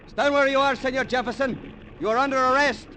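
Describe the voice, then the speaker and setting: An actor playing a dutiful, determined Peruvian police commandant in a 1940s radio drama. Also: male voice, very high-pitched, fairly even intonation, a little fast, noisy background. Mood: urgent, commanding. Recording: old dry 1940s radio broadcast, music.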